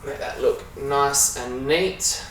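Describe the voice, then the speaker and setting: A man with an Australian accent giving a casual, halting hands-on demonstration as a sound engineer while he works. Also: medium pitch, fairly bright tone. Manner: informal, halting, talking while working with hands. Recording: echoey room, distant mic, faint noise.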